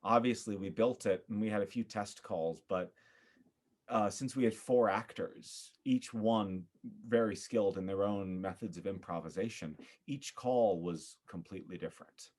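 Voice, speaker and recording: Male theater director as interview guest, lower voice, earnest, reflective, halting phrasing. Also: a little mellow.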